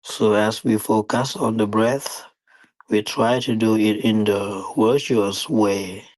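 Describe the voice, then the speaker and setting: Slow, low-voiced man guiding meditation. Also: fairly even intonation, a little mellow, no echo, low-quality recording. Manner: deliberate, halting phrasing with long pauses. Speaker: meditation teacher. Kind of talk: guided meditation dharma talk.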